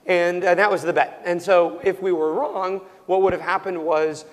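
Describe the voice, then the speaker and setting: Hoarse young man talking loudly, voice cracking. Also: high-pitched, fairly even intonation, moderate pace, long reverb tail. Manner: loud, nearly yelling, full of ums and restarts. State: losing his voice, prone to cracking. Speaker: startup founder being interviewed. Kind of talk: interview answers.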